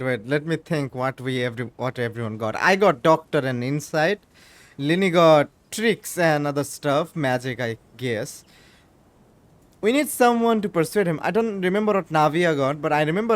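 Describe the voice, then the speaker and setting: A man's voice: fairly high pitch, expressive, normal speed, no room sound. A man giving casual gameplay commentary, thinking aloud hesitantly as he plays. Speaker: gamer playing and reacting.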